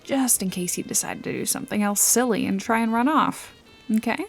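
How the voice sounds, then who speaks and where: Woman playing wry noir detective lady, breathy almost whispery, takes her time, teasing and a bit threatening, soft ambient bed behind her. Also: on the high side, fairly quick, faint music behind. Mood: teasing, commanding. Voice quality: breathy, often dropping toward a whisper. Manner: sardonic, teasing, casually threatening drawl. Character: wry, bossy woman detective-bodyguard with a dangerous, teasing edge. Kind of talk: noir mystery ASMR roleplay, intimate addressing of listener.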